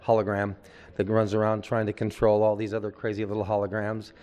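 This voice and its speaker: A man's voice: fairly low pitch, completely flat delivery, a little fast, darkish tone, dull audio. An older man, a veteran actor, chatting at length in an on-set interview, rambling with many asides.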